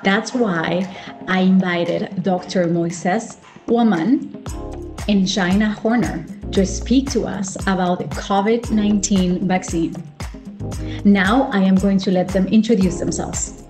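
A woman in her thirties speaking in a measured, deliberate way as a host introducing and interviewing on a video call. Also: medium pitch, steady tone. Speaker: host, clinical psychologist. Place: small indoor home office, video call. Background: music.

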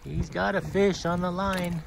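A man's voice: high-pitched, steady tone, a little slow, gravelly. A man giving casual live commentary outdoors, exclamatory and encouraging as he follows the fishing. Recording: loud music over outdoor boat footage.

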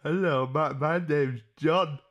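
A man's voice: a little high, slow, a bit bright, lo-fi sound. A British man in his twenties chatting casually on a livestream, close to a desk microphone in a room. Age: 20s. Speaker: live streamer making prank calls. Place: small indoor room.

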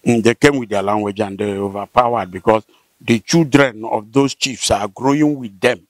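An older man with a southern Nigerian accent, explaining local history conversationally and haltingly, with affirming hums. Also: fairly low pitch, steady tone, no echo. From Rivers State, southern Nigeria. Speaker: interviewee, local elder. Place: outdoors, seated by corrugated metal fence. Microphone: handheld interview mic held by host.

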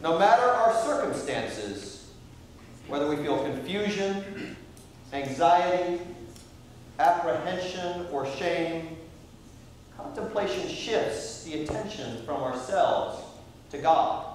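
A middle-aged American priest preaching in a high voice with a measured, unhurried delivery. He is heard from far across a large church, his words ringing with heavy echo. A faint hiss sits under the sound. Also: expressive. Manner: measured preaching, reading quotations slowly. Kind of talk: sermon. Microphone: far camera at the back of the church.

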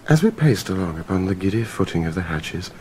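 A haunted noble prisoner in a Shakespearean audio drama. His voice is deep and grave, over faint background noise. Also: very animated, a little fast, darkish tone. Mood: haunted recounting. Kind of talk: theatrical Shakespearean acting.

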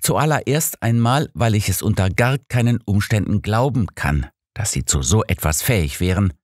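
A man's voice: fairly low pitch, expressive, moderate pace, dry recording, studio quality, pitched above the performer's own voice. A man voicing a distraught, devout cardinal in an audiobook, speaking formally with grave, pleading earnestness. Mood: earnest, insistent.

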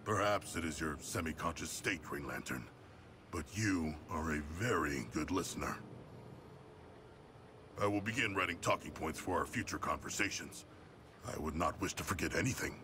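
A huge game shark-man with a very deep voice. He is gentle and courteous, speaking in formal, earnest phrases over a clear ambient hum. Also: normal speed. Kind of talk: video game voice acting.

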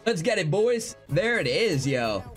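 A young man in his twenties live-streaming a game from his home setup, chatting excitably with viewers, swearing freely and going hoarse. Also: high voice, measured pace, faint music behind. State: losing his voice. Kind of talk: live gaming stream chat. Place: home gaming room.

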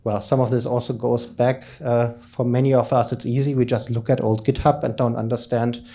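Mellow-voiced man giving a conference talk. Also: medium pitch, little pitch movement, brisk. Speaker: keynote speaker, academic researcher. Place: conference lecture room, indoors, at a lectern. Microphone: handheld microphone.

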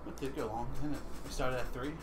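A man's voice: mid-range voice, fairly even intonation, fast talker. Man talking through game moves, noisy recording. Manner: thinks aloud through moves and tallies. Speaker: board game player. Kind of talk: casual tabletop game chat on a livestream. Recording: noisy livestream capture with room ambience.

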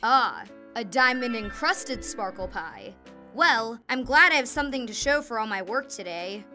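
A woman's voice: on the high side, very animated, normal speed, sharp tone, faint music behind. A woman voicing a sarcastic, wisecracking young girl in a cartoon, with a wry manner. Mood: satisfied. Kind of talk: animated cartoon acting.

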